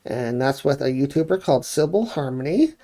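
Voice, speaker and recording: A man's voice: medium pitch, expressive, fairly quick, dark tone. A man co-hosting a live stream, chatting casually as he moderates and promotes the shows. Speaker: co-host and chat moderator.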